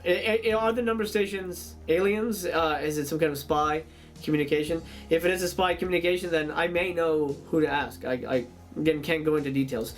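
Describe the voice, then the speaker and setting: A man's voice: a little high, a little fast, soft background music. A man hosting a video in a casual monologue, speaking hesitantly with stammered repeats. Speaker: video host.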